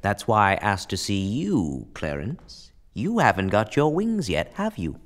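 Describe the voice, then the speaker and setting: Man playing a low-voiced, authoritative senior angel. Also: sing-song. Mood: calm, authoritative. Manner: measured, explanatory, kindly. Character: calm, authoritative senior male angel giving instructions. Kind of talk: radio play acting.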